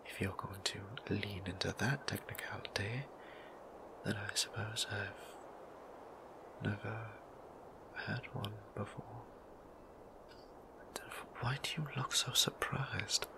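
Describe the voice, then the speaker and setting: A shy, tender vampire priest, played by a man, murmurs in a low, creaky whisper. He speaks very slowly and haltingly, with gentle formality, as in an intimate ASMR roleplay. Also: rough recording. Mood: bashful.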